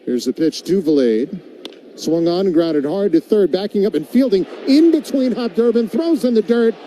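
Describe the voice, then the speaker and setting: A man calling a baseball game as a radio play-by-play announcer, describing each pitch and filling in between with commentary. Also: animated, normal speed, mellow, slight background noise.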